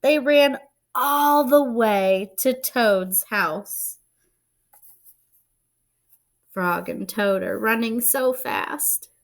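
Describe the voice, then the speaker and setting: A woman reading a children's picture book aloud, expressively, pausing to explain and put questions to young listeners. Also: a little high, creaky. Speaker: read-aloud storyteller and teacher-like reader.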